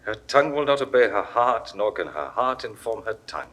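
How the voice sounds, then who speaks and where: Ardent Roman commander, classic radio-play delivery. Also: male voice, fairly low pitch, sing-song, fairly quick. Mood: tender. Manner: declamatory, forceful. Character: passionate Roman general. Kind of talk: 1960s studio radio play acting.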